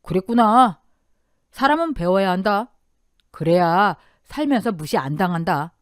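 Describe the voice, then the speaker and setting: A woman's voice: mid-range voice, lively intonation, unhurried, dry recording, clean studio sound, lightly acted. A woman voicing a blunt but caring older father-in-law in a radio story drama. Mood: firm, caring. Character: gruff but warm-hearted older father-in-law, a restaurant owner.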